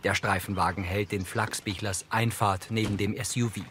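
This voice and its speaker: A man's voice: fairly low pitch, fairly even intonation, normal speed, noisy background. A man reading scripted scene narration as an audio describer for a television crime show. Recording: music behind the voice.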